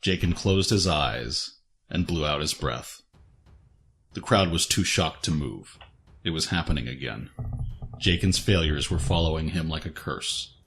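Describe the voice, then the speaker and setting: A man's voice: a bass voice, lively intonation, unhurried, a bit bright, slight background noise. A man narrating a scripted dramatic story as its storyteller. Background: faint background music.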